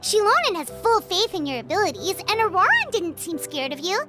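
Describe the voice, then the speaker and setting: An earnest little game companion creature, extremely high and squeaky. The childlike voice bounces around with very animated intonation, and music plays prominently behind it. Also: female voice, brisk. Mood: reassuring, earnest. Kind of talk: video game character acting. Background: game background music.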